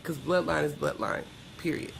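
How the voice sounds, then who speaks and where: A woman's voice: low-pitched, fairly even intonation, moderate pace, gravelly, a little hiss or hum, poor audio. A middle-aged American woman telling personal stories candidly as an interview guest, with emphatic, colloquial delivery. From Georgia, United States.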